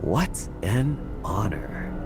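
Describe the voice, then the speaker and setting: An actor playing a scheming, bitter heist organiser in a game cutscene, speaking in a measured, theatrical, rhetorical way. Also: male voice, on the low side, very animated, full character voice. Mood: intent, persuasive. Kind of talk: dramatic video game cutscene acting. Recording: music behind the voice.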